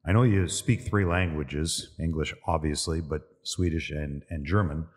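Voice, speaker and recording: A man with a very deep voice asks interview questions with a friendly, teasing ease. The room behind him has a long, lingering reverb. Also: moderate pace. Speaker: podcast co-host. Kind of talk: casual interview chat.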